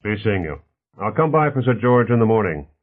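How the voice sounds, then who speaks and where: A man's voice: fairly low pitch, big pitch swings, a little fast, voice pushed up. A man voicing a sour, sardonic male city official in an audiobook, with a dry, terse manner. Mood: brisk. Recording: narrow phone-like band.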